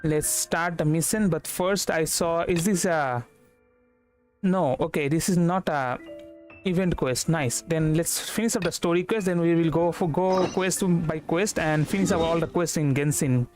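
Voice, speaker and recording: Young male streamer, higher voice, rambling casual game commentary over clear background music. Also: moderate pace, darkish tone. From India. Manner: rambling, repeats words. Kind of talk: casual gameplay livestream commentary.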